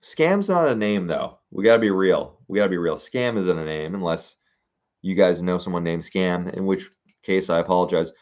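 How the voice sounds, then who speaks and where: Low-voiced man musing through viewer comments. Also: animated, darkish tone, lo-fi sound. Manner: musing aloud, reading comments, halting with fillers. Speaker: streamer reading viewer suggestions. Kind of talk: casual livestream chat.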